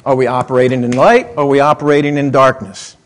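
A middle-aged man preaching a sermon in a conversational way, swinging between excited, emphatic lines and quiet muttered asides. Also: medium pitch, fast. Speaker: pastor.

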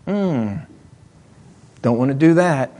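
A middle-aged man preaching a sermon in a conversational way, swinging between excited, emphatic lines and quiet muttered asides. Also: medium pitch, slow pace. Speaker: pastor.

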